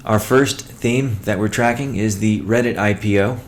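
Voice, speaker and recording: A man presenting a company update as a chief executive, in a measured, matter-of-fact way with halting phrasing. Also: on the low side, a little hiss or hum. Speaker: company CEO hosting a weekly call. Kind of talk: business update presentation, partly read from notes.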